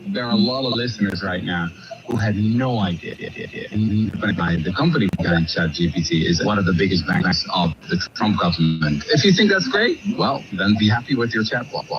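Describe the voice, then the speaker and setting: Low distant male guest on noisy shortwave. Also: animated, moderate pace. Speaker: programme guest in a clip. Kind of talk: argumentative interview remarks. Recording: distant muffled shortwave radio with static.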